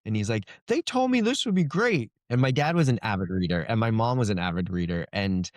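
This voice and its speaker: Lower-pitched middle-aged man, chatting reflectively. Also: lively intonation, brisk, no echo, studio quality. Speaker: fantasy author, interview guest. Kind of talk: casual conversational storytelling.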